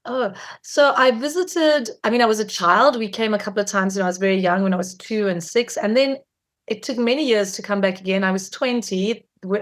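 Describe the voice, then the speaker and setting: A woman in her forties, raised in South Africa, answers interview questions in a loose, chatty way. She often restarts her sentences and fills pauses with "you know" and "um". Her voice sits at a middle pitch for a woman. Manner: warm, chatty, many fillers and restarts. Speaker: filmmaker guest. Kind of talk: conversational interview.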